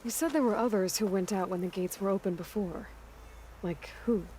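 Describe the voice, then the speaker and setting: A woman's voice: medium pitch, moderate pace, dark tone, slight background noise, close to an everyday voice. A woman playing a determined, inquisitive young warrior in a video game cutscene, with a plain, direct manner. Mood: direct, probing.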